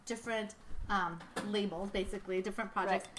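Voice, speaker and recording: A woman's voice: mid-range voice, steady tone, fairly quick, a bit bright, slight background noise. A woman in her thirties hosting a virtual wine tasting, talking in a bubbly, rambling way with many fillers. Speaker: winery host. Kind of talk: casual chat, virtual tasting. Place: winery tasting room, indoors. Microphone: tabletop mic on stand.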